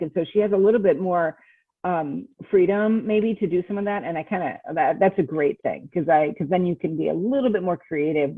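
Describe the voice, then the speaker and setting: A woman's voice: sits low, slightly rough, no echo. A woman author chatting casually as a podcast interview guest, explaining things and acting out her thoughts as quotes. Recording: tinny phone-like line, muffled words.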